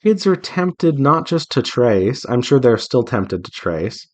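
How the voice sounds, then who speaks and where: A man's voice: mid-range voice, sing-song, takes it slowly, a little mellow. A man talking casually and earnestly in a rambling, advice-giving way, like an artist chatting while working. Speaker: visual novel developer and artist hosting a drawing devlog.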